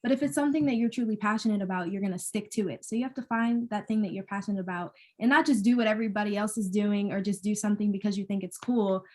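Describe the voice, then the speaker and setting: A woman's voice: mid-range voice, fast talker, few pauses. A young woman co-hosting a podcast, chatting in an upbeat, effusive and emphatic way. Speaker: podcast co-host.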